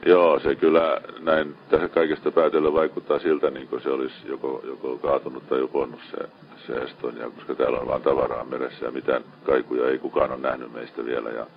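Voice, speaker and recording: Very deep-voiced man on muffled radio. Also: level tone, gravelly. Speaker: ship officer coordinating a rescue by radio. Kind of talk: marine radio traffic. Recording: muffled, band-limited marine radio transmission.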